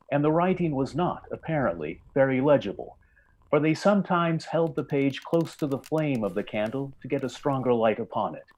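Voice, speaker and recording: A man narrating a scripted story in old-time radio style, with measured, deliberate delivery and dramatic pauses. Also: mid-range voice, darkish tone, low-quality recording.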